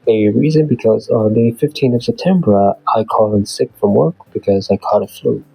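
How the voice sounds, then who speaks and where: A man still getting over a flu reads his weekly recap in an earnest, measured way. His voice sits low and mellow with a husky edge. The recording is dry and close, with faint music underneath. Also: no echo, low-quality recording. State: voice off from recovering flu. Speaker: beginner artist and channel host. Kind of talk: scripted weekly progress narration.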